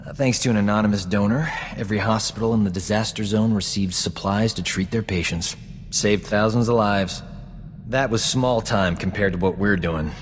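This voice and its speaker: An actor as a low-voiced, cocky rogue space doctor. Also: male voice, moderate pace, big-room sound, a little hiss or hum, natural voice. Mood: self-justifying. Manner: smooth-talking, self-satisfied, glib. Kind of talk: video game dialogue acting.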